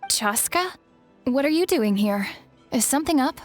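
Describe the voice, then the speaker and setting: A woman's voice: sits high, animated, normal speed, soft background music. A woman playing a self-doubting, resigned young woman who speaks hesitantly, in an English game dub. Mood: surprised.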